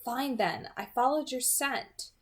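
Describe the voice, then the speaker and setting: A woman's voice: high voice, takes it slowly, voice pushed up. A woman voicing a teasing, guarded young supernatural woman in a novel read-aloud, with a casual, explaining manner. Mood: casual, thoughtful.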